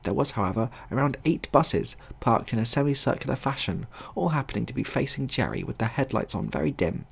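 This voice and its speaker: A man narrating a scripted comic short story as a storyteller, in a husky, gravelly voice. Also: mid-range voice, expressive, brisk, few pauses.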